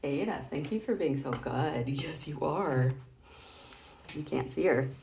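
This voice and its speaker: A woman's voice: deep voice, lively intonation, unhurried, vocal fry, a little mellow, slight background noise. A woman hosting a casual read-aloud of comic strips, chatting playfully and expressively as she explains things directly to a child.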